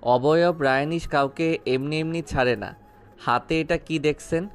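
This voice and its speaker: A man's voice: a little high, normal speed, clean tone, natural voice. A man playing a ruthless gangster moneylender in an audio story, cold and taunting with a hard edge. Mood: cold, threatening. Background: background music.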